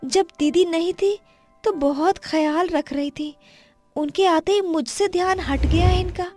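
Woman acting a very high-pitched, meek young daughter-in-law. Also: unhurried, dark tone, faint music behind. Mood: hurt. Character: young pregnant daughter-in-law, meek, dutiful, quietly hurt. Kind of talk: acted dialogue for an animated moral story.

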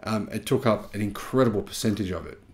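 A man's voice: on the low side, quick pace, a little mellow. An Australian man in his thirties talks straight to camera about the news. He drives his points home by stretching and stressing key words. He sits in a small indoor home studio. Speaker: YouTube channel host.

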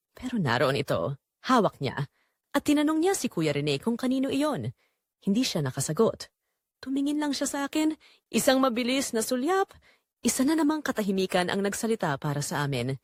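Female narrator for emotional first-person audio drama, expressive melodic range, dry studio-like sound. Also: a little high, normal speed, no room sound.

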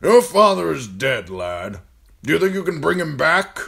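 A man voicing a gruff older military commander in an audiobook, talking bluntly and firing off needling questions. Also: a little high, sing-song, normal speed, a bit bright, pitched above the performer's own voice, full character voice. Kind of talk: audiobook character voice.

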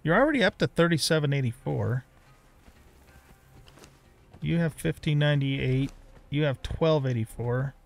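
A man's voice: on the high side, lively intonation, measured pace, pauses often. A middle-aged or older man giving casual let's play commentary, rambling as he thinks aloud. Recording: clear background noise.

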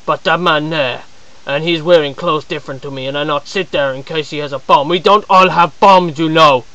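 An indignant, ranting man, as a performer puts him on, airing grievances at the viewer in a bright, sharp-edged voice. He peppers every complaint with "man" and "I mean" and climbs into high, shouting exasperation. The recording sounds dull and lo-fi. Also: normal speed. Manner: aggrieved rant, frequent "man" and "I mean" fillers. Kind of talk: comedic character monologue to camera.

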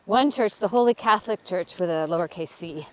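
A woman's voice: lowish voice, a little fast. A middle-aged woman hosting a casual walking talk outdoors, explaining history with frequent ums. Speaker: author and channel host. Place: outdoors on a cold hillside.

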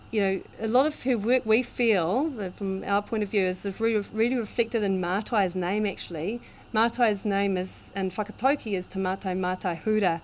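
A woman introducing a guest speaker in a halting way with many fillers, in a meeting room. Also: mid-range voice, slight background noise. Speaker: event host introducing the speaker. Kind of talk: spoken introduction.

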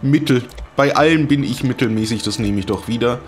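A man's voice: mid-range voice, brisk, slight background noise. A man from Germany hosting a casual let's play, chatting and joking as he reads out menus and reacts to the game. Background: game music.

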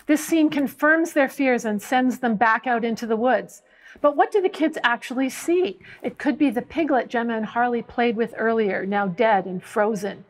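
A middle-aged woman, giving scripted educational narration in a measured, precise way as a professor. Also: a little high. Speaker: professor presenting a web series.

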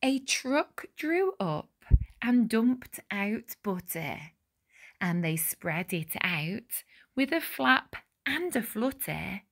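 A woman reading a rhyming children's storybook aloud with deliberate, dramatic phrasing. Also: a little high, sing-song, a bit bright. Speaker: picture book reader.

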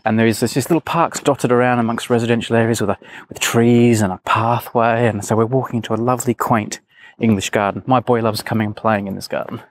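A man's voice: medium pitch, steady tone, fast. A man chatting casually as a podcast host. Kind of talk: casual chat.

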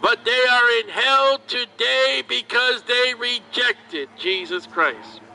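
A man preaching outdoors at a market, his voice pitched very high. He speaks very slowly, stretching his words. His tone is sharp and piercing, picked up from across the street. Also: vocal fry, a little hiss or hum, rough recording. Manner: slow, drawn-out shouted preaching. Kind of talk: open-air street preaching.

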